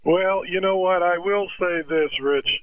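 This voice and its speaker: A man's voice: high voice, unhurried, clear, resonant tone, no echo. An older American man chatting casually and encouragingly as an amateur radio operator, handing over as he goes. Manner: chuckling, encouraging, uses radio sign-overs. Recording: dry, narrow phone-like radio audio.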